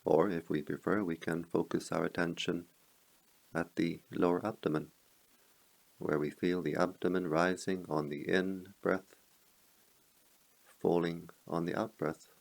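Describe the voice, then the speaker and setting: Man, meditation teacher, very low pitch, gravelly creak, slow measured phrasing, long pauses, guided meditation delivery. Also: flat delivery, low-quality recording.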